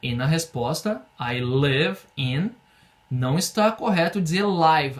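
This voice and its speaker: A young man from Brazil teaching an English lesson in Portuguese, explaining patiently and having students repeat phrases slowly. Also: fairly high pitch, a bit bright. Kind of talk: online language lesson.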